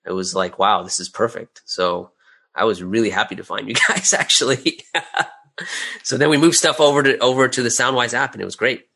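A man's voice: mid-range voice, moderate pace, vocal fry, pushed and bright, slightly rough. A man speaking as an interview guest, telling anecdotes in a casual, conversational and candid way about his own work. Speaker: interview guest, audio drama creator.

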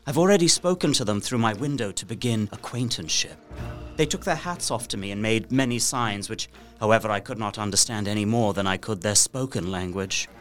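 An actor playing an anxious, resourceful young captive gentleman, narrating his journal carefully and earnestly in an audio drama. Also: fairly low pitch, fairly quick, natural voice. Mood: guarded, determined. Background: music.

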